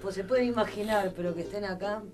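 A woman's voice: mid-range voice, fast, faint music behind. A middle-aged woman hosting a radio interview in a small studio, talking at a studio mic with effusive, echoing agreement. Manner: warm and effusive, echoes guests' words. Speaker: radio host. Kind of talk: casual radio interview chat. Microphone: studio mic with headphones.

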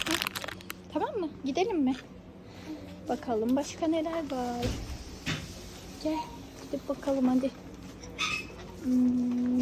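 High-pitched woman coaxing a child, noisy background. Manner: coaxing, sing-song repetition and questions. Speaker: mother filming her young child. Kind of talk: casual child-directed chat. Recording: noisy location recording.

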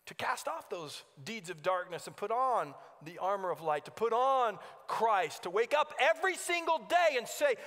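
A man's voice: very high voice, bright, forceful tone. A man preaching a sermon as a pastor, earnest and exhorting, repeating phrases for emphasis. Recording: long hall reverb.

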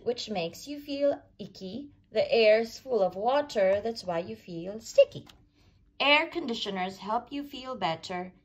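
A woman with a fairly high voice reads a children's picture book aloud. Her pitch swings widely as she shapes each rhyming phrase in an expressive sing-song. She goes very slowly and deliberately, so young listeners can follow. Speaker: storyteller reading aloud.

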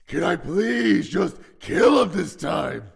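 A bloodthirsty demon war god in a comic dub. He is gruff, exasperated and deeply gravelly, speaking slowly, with a roomy echo around him. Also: big pitch swings, a little hiss or hum, heavily acted. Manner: gruff, sardonic.

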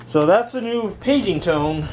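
Higher-voiced young man vlogging casually. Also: expressive, measured pace, background noise. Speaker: video host demonstrating a home intercom system. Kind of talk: casual handheld vlog demonstration. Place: bedroom and home workshop. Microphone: handheld camera or phone, held by the speaker.

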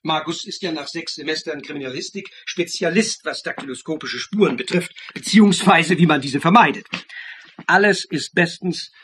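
A man's voice: fairly high pitch, moderate pace, a bit bright, slight background noise, natural voice. An actor in a radio crime play voicing a clever, persistent sleuth who lays out his theory in an assured, needling way. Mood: assured, explanatory. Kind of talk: German radio play dialogue.

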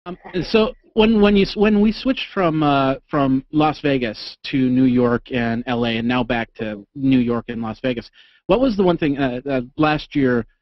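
A man's voice: mid-range voice, normal speed, no echo. Dry, slightly dull male interviewer. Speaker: interviewer, host. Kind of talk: casual interview chat. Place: indoor home studio. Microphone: condenser mic on boom arm, close.

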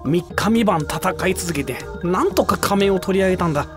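A woman's voice: fairly low pitch, expressive, normal speed, lightly acted. A woman playing a mild, explanatory teenage club vice-president in a comedic anime-style voice drama. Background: background music.

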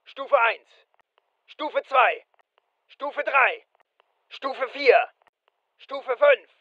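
Hoch schreienden, drängenden Soldaten. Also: male voice, very high-pitched, lively intonation, extremely slow, dry recording, dull audio, full character voice. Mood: firm, controlled. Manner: clipped, commanding, urgent. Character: alert male soldier barking urgent battlefield callouts. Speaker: voice actor in a dubbed military shooter. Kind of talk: military combat callouts, short barked lines.

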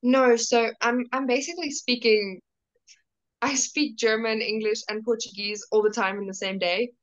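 A woman's voice: on the high side, steady tone, normal speed, muffled recording. A young woman from South Africa, a guest on a video call, talking casually and at length with many fillers and restarts.

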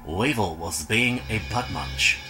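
A man's voice: lowish voice, slow, music in the background, rough recording. A man voicing a dim, crude, laid-back goon with dopey, slangy speech in a parody cartoon dub.

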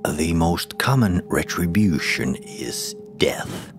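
A man narrating a scripted folk horror tale in a slow, hushed, often half-whispered delivery. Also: low voice, expressive, music in the background.

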